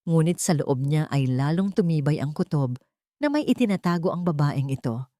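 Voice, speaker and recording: A woman's voice: deep voice, lively intonation, normal speed, warm tone, no echo. A woman narrating a scripted dramatic story as an audio drama narrator.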